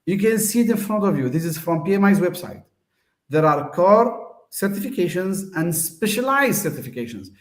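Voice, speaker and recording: A man's voice: sits high, big pitch swings, moderate pace, echoey room. A man from Tunisia, host of the show, giving a casual, hesitant explanation full of fillers and restarts.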